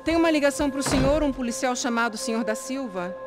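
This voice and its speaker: An actress playing a polite, uneasy secretary in a video game. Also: female voice, sits high, expressive, very fast. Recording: music behind the voice.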